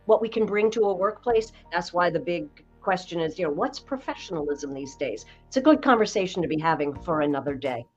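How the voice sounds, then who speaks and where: A woman in her sixties with a slightly low voice, a voice coach telling stories in an interview with slow, emphatic phrasing. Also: lively intonation, dull audio, faint music behind. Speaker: voice coach guest. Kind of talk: conversational podcast interview.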